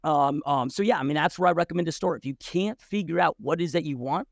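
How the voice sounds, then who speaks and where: A man's voice: a little high, fast, gravelly, dry recording, studio quality. A man in his forties, a podcast guest who tells his story bluntly and casually, swearing freely with many restarts and "dude"s.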